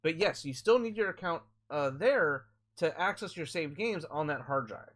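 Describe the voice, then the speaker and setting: A man's voice: on the high side, lively intonation, normal speed, fairly bright tone. A man streaming a game and chatting with viewers, rambling through explanations with frequent restarts. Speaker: gaming live streamer. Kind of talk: casual live-stream chat answering viewers.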